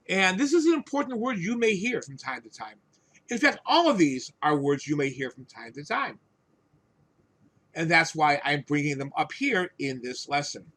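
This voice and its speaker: A man giving an English vocabulary lesson, explaining words in a deliberate, instructive way with repeated phrases and simple examples. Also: a little high, a bit bright. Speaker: English teacher, host of the lesson.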